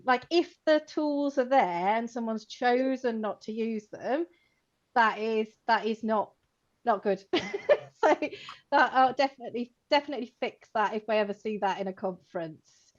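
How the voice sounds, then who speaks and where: A woman's voice: a little high, normal speed. Chatty woman, slightly lo-fi sound. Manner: chatty, animated, many fillers like "like" and "you know", laughs while talking. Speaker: co-host, co-owner of a computing education company. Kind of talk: informal conversational chat.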